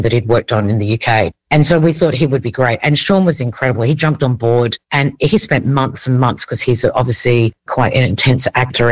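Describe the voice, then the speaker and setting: A woman's voice: a bass voice, no echo, rough recording. A woman with an Australian accent, talking conversationally as a casting director being interviewed.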